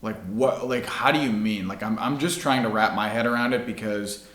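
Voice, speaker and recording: A man's voice: fairly low pitch, moderate pace, fairly bright tone, echoey room. A male podcast host chatting in a laid-back way, saying "like" a lot. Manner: laid-back, heavy use of "like". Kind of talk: casual chat.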